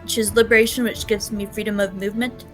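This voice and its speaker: A woman's voice: mid-range voice, little pitch movement, quick pace, a bit bright, faint music behind, rough recording. A woman taking part as a player in a tabletop game, asking about rules and stating her moves plainly. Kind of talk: casual tabletop play.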